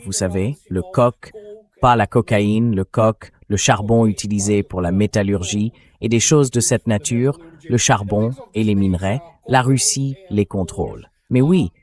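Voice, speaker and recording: Male synthetic voice-over for interview guest: low register, blunt, emphatic political commentary. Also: darkish tone.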